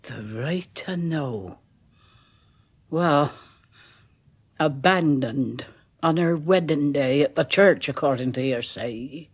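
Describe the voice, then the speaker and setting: An old village gossip. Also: female voice, sits low, slow pace, pauses often, breathy edge, exaggerated voice. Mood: ominous. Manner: rustic dialect, hushed and uneasy, dropping to whispers. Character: older village woman, a nervous, superstitious local. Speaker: village neighbour. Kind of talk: radio drama acting.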